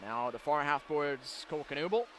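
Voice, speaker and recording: A man calling live hockey play-by-play on the radio in an excitable manner, ready to build into shouted calls. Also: on the high side, moderate pace. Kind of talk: live sports radio commentary. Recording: live broadcast with clear background noise.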